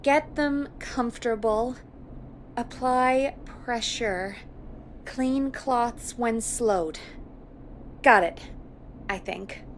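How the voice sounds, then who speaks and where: A supportive late-night radio producer in a video game. Her voice is fairly high and her delivery natural, steady and practical, over a little background noise. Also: extremely slow, pauses often, poor audio. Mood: focused, relieved.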